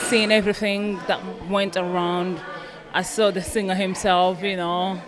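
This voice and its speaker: A woman's voice: medium pitch, level tone, a little slow, crowd noise. A woman from a TV crew being interviewed casually by a colleague on a handheld microphone.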